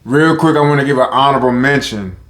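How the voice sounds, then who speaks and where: A man with a deep voice rambles casually straight to his viewers, stopping and restarting his sentences. He talks at an ordinary pitch. His voice bounces around an echoey room. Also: fast talker, fairly bright tone. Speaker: vlogger. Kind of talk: casual talk to camera.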